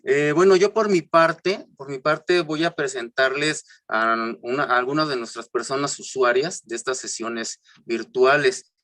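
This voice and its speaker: A man's voice: medium pitch, a little slow, few pauses, a bit bright, dry recording, clean studio sound. A man from Juchitán, a Zapotec speaker, presenting politely on a video call with many fillers. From Juchitán de Zaragoza, Oaxaca, Mexico. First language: Isthmus Zapotec. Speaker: cultural promoter.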